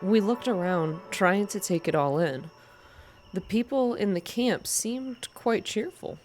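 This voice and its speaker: A woman's voice: mid-range voice, unhurried, a little mellow. A woman reading a story game aloud as scripted narration in an ASMR style, with music behind her. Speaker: reader of a visual novel game.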